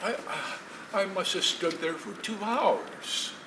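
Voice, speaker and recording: Stage drama role: man playing tender elderly man full of regret, slow halting delivery, recorded far from the mic. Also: sits high. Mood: tender, shaken. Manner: halting, emotional, repeating words. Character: elderly divorced man, regretful, tender, longing for lost love. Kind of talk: stage play acting. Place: theatre stage, distant mic. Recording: echoey stage from far off, noisy.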